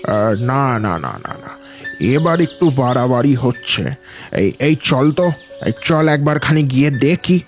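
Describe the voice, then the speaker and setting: A man playing a village headman in an animated ghost story, questioning and cautious, speaking hesitantly and repeating words. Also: medium pitch, unhurried, faint music behind. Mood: doubtful.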